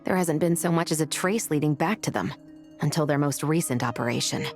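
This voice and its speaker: A woman's voice: low voice, brisk, faint music behind. An actress playing a stern, dutiful female officer in a video game, serious, blunt and businesslike. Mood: grave. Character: stern, dutiful woman law-enforcement officer. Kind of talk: video game character acting.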